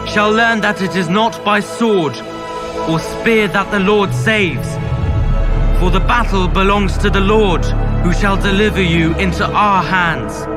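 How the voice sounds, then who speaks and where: An actor playing a defiant, faith-filled warrior declaiming in a biblical audio drama. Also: male voice, sits high, measured pace. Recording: loud dramatic music behind the voice.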